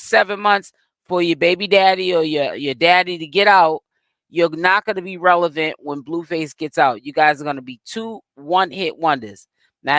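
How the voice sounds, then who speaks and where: A man's voice: a little high, a bit bright, no room sound. Boston-accented man in rambling celebrity commentary. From Boston, Massachusetts. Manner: rambling, self-correcting, streetwise slang. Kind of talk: casual commentary to viewers.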